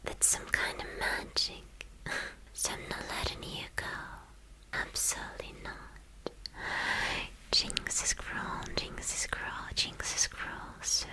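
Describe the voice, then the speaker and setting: A woman voicing a mad, cruel young villainess kidnapper in a whispered ASMR roleplay, coaxing and menacing in a breathy whisper. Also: close to an everyday voice, rough recording. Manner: slow, coaxing, repeating words for menace.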